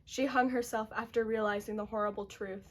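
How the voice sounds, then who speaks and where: Woman, narrator, fairly high voice, scripted dramatic storytelling, puppet show. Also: fairly even intonation, brisk.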